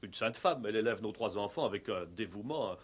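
An actor playing a bewildered, pompous village mayor, effusive and often hesitating to correct himself, in a 1960s French radio play. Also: male voice, fairly low pitch, expressive, a little fast, husky.

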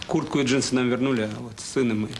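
A man's voice: medium pitch, fairly even intonation, fast talker, slight background noise, close to an everyday voice. An actor playing a troubled, remorseful middle-aged father in a radio drama, speaking hesitantly and earnestly. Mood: bitter regret. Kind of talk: Soviet radio drama acting.

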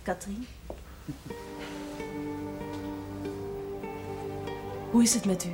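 A woman's voice: mid-range voice, fast, over music, lightly acted. An actress playing a troubled, sincere, self-blaming young woman in a television drama, earnest and confiding. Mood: polite, hesitant.

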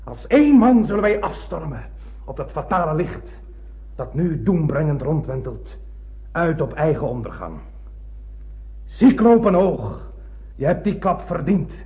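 An actor playing an obsessed, blind older spaceship captain in a radio drama, declaiming poetically and grandly. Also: male voice, a little high, big pitch swings, unhurried, long pauses. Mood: fanatical, fervent. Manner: declamatory, poetic, swinging from hushed to ranting. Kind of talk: theatrical radio drama monologue. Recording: muffled old radio play recording.

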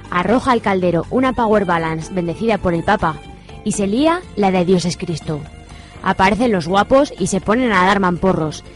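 A woman's voice: mid-range voice, darkish tone. A woman with an accent from Spain reading scripted narration for a radio soap.

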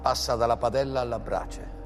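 A man narrates a scripted documentary. His delivery is dramatic and rhetorical, at an average male pitch, with light music running behind him. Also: fairly even intonation, normal speed. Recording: music under the voice.

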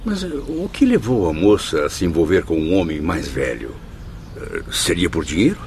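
A man acting a pensive gentleman spirit in a radio drama, very low and highly inflected. He sounds sympathetic and formal, wondering aloud over light background noise. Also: very animated, moderate pace. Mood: curious, hesitant.

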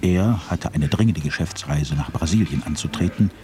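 A man's voice: extremely low pitch, normal speed, background noise. A man narrating a radio drama in a matter-of-fact, descriptive way.

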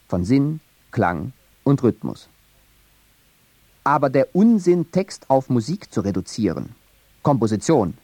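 A man reading a scripted radio feature narration in a measured, academic way. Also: medium pitch, warm tone, breathy edge, dry recording.

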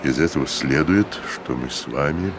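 An actor playing a big-hearted, blunt, loyal musketeer in a radio play, with an emphatic theatrical delivery. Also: male voice, extremely low pitch, moderate pace, noisy background. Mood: hurt, reproachful. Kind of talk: Russian radio play acting.

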